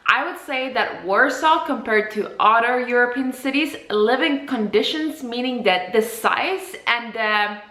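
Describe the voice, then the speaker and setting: A woman's voice: on the high side, lively intonation, a little slow, hardly any pauses, bright, forceful tone. A woman, talking casually and explaining things as a vlogger. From Poland, grew up partly in Copenhagen. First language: Polish. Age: 20s. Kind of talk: casual vlog talk to camera.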